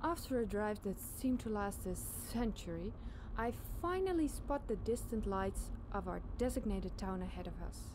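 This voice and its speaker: A woman telling a scary campfire story in a suspenseful, deliberate, dramatic narration. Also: mid-range voice, animated, noisy background. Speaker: podcast storyteller.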